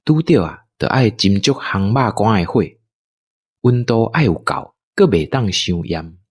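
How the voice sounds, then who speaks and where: Male narrator, Taiwanese accent. Very animated intonation, leisurely pace, storytelling for audio drama. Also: fairly low pitch, breathy edge, no room sound. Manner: unhurried, expressive storytelling delivery.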